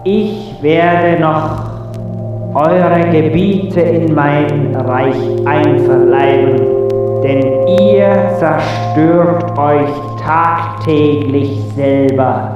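Menacing underworld master, old amateur radio play, super slow and drenched in echo, music behind. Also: male voice, on the high side, steady tone, recorded from a distance, dull audio. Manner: grandiose, solemn, scornful. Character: pompous, menacing male ruler of the underworld. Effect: heavy added reverb. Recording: echoey 1980 tape, music behind.

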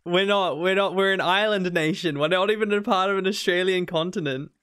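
Young kiwi guy streaming a farming game, highish voice, goofy back-and-forth with chat. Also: fairly quick, a bit bright, smooth. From New Zealand. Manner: playful, jumps to high squeaky protests when teased.

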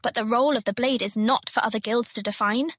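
A bold, higher-voiced thief captain. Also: female voice, steady tone, quick pace, a bit bright. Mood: assertive. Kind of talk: dramatised fantasy audiobook acting.

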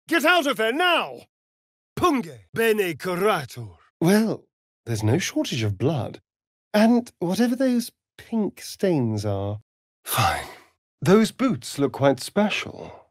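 A man's voice: on the high side, dry recording, studio quality, exaggerated voice. A man playing a vain, sardonic, flirtatious vampire rogue in a video game, with a British accent and an arch, drawling, theatrical delivery. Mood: alarmed, then incanting, then dry, bored.

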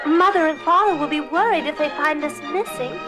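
Storybook little girl, adult actress: high, proper, earnest, proud yet gentle. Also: brisk, over music, lightly acted. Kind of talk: children's read-along storybook acting.

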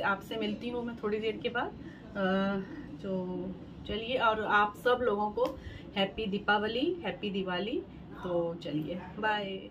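A woman's voice: medium pitch, muffled recording. Chatty woman vlogging at home. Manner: chatty, showing items one by one, with fillers. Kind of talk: casual vlog chat to camera. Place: bright room at home, later a balcony. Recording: home phone recording with clear background noise.

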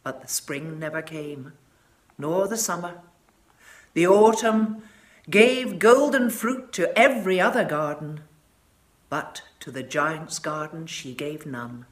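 A woman in her sixties reading a children's story aloud in a measured, dramatic way. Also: low-pitched. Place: furnished living room, indoors.

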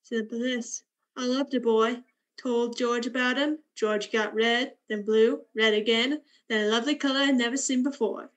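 A woman's voice: on the high side, steady tone, moderate pace, lightly acted, low-quality recording. A woman playing a cold, flippant, bitter young woman in a radio play, with a blunt, sardonic delivery.

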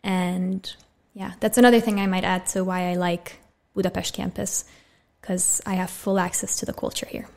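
A woman's voice: on the low side, moderate pace, gravelly. A woman in her twenties with an American accent, chatting as a podcast guest in a small studio on a condenser mic. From USA. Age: 20s. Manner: friendly, relaxed, frequent "so" openers. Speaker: podcast guest, medical student. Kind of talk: casual interview talk. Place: small bright studio room. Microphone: studio condenser mic on boom arm.

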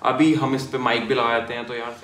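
Bright-voiced young man demoing gear. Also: medium pitch, little pitch movement, fairly quick. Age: young adult. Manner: chatty, addresses viewers as bhai and yaar. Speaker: motovlogger. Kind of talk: casual product demo. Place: small indoor room at a desk. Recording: echoey room.

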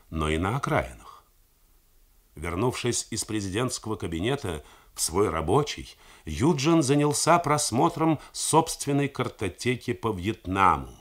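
A man narrating a novel in a measured, theatrical reading style for a 1980s radio broadcast. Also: sits low. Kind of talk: scripted literary narration.

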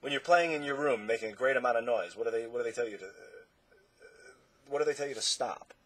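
A man's voice: mid-range voice, quick pace, fairly bright tone, slightly rough. A man playing an exasperated, showy doctor in a storybook, declaiming his points with indignant pride. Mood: lecturing. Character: theatrical, exasperated male doctor of noise, proud showman.

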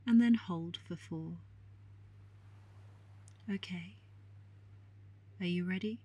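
A woman guiding a meditation in a calm, soothing, measured way with long pauses and a soft, breathy voice. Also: medium pitch. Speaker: meditation guide. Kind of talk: guided meditation narration.

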